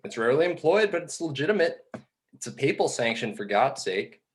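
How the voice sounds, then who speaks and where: A man playing a pedantic exiled angel in a film script table read, explaining things in a dry, measured way. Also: mid-range voice, very animated, natural voice. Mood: assured. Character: Bartleby, exiled male angel, reasoning, pedantic, exasperated schemer.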